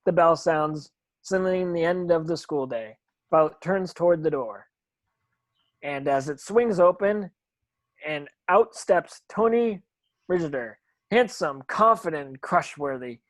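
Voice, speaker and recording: A woman's voice: low voice, expressive, a little slow, no room sound. A woman reading script stage directions as narration, with occasional stumbles over the words.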